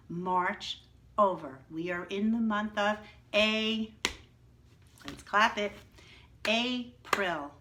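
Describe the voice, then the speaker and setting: A woman teaching young children in a slow, sing-song way, asking questions and answering them herself. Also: medium pitch, background noise. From New York, United States. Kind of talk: read-aloud lesson for young children.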